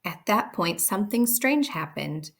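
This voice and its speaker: A woman reading a children's story aloud as expressive scripted narration. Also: medium pitch, unhurried, vocal fry. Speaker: storybook reader.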